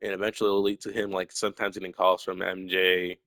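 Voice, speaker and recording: A man's voice: deep voice, little pitch movement, fast, fairly bright tone, dry recording, clean studio sound. A young man with a stutter, talking casually and at length as a solo video creator, full of fillers and restarts. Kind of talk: rambling casual monologue.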